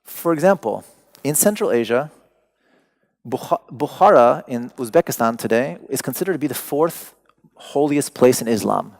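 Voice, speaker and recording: Casting note: gravelly-voiced man, native Persian speaker, lecturing with dry humor. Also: mid-range voice, expressive, normal speed. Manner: wry, digressive, joking asides. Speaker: artist giving a talk. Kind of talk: lecture to an audience.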